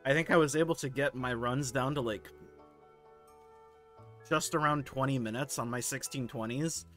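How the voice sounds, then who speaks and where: A man with a hoarse, sore throat hosting a gaming video, casually reading forum posts aloud and giving his candid, laid-back take. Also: medium pitch, normal speed, pushed and bright, soft background music.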